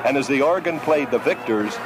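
A man reciting a rhymed sports poem in a dramatic, measured storytelling cadence. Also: mid-range voice, lively intonation, music in the background. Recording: noisy archive clip.

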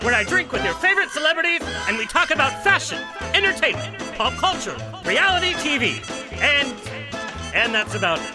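A man's voice: very high voice, big pitch swings, loud music behind the voice. A man hosting a radio chat show, stuffy and nasal from allergies, joking and laughing between lines. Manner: playful, giggly, quick with jokes. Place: studio in Hollywood.

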